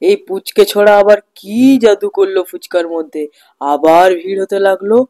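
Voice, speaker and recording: A woman puts on a scheming, envious male food seller for a children's cartoon. He mutters his plots in a voice pitched down into a middle register. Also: moderate pace, mellow, lightly acted. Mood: suspicious. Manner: muttering to himself, plotting. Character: jealous, scheming male street-food vendor. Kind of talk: children's cartoon fairy tale.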